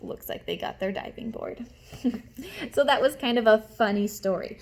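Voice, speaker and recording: A woman teacher reading a storybook aloud to young children, expressively, pausing to explain and ask questions. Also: a little high, a little hiss or hum.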